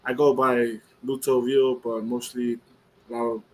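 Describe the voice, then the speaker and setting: A man from American Samoa introducing himself casually and haltingly as a newcomer, with some background noise. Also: mid-range voice, level tone, darkish tone. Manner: hesitant, halting. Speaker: new business member, guest.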